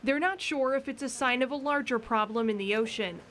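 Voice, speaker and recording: A woman's voice: high voice, brisk, a bit bright. Woman reporter reading a news story. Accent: American. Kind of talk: scripted news narration.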